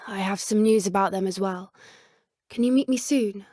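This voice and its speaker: A woman voicing an earnest, caring teenage witch with a British accent in a video game, speaking in an articulate, gently expressive way. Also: medium pitch, normal speed, no echo, studio quality, lightly acted. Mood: purposeful. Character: earnest, caring teenage witch schoolgirl who loves creatures. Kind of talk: video game character dialogue.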